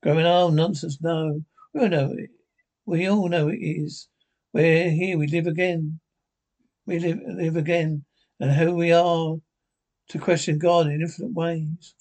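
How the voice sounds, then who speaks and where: A man voicing a placid, pious old grandmother, folksy and soothing, with a husky voice. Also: a little high, fairly even intonation, measured pace, a little mellow.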